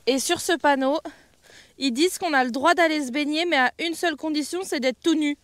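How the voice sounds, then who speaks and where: A woman's voice: high-pitched, moderate pace, a bit bright, dry recording. Giggly young woman vlogging outdoors. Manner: nervous, giggly, chatty. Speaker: freestyle skier vlogging. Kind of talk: casual vlog chat. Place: outdoors, walking around a city and ski venue. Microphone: action camera held at arm's length.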